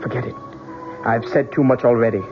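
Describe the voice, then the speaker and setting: A man playing a sly, mercenary older woman who tells fortunes, theatrical and measured, in 1970s radio drama style. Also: medium pitch, fairly even intonation, close to an everyday voice. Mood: hard bargaining. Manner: theatrical, measured, businesslike haggling. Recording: dull old radio recording with music.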